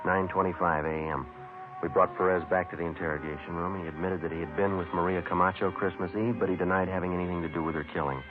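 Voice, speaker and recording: An actor playing a terse, methodical police sergeant in a deadpan, matter-of-fact radio drama style. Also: male voice, sits low, fast, natural voice. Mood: flat, factual. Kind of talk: 1950s radio drama acting and narration. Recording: muffled vintage radio recording, music behind.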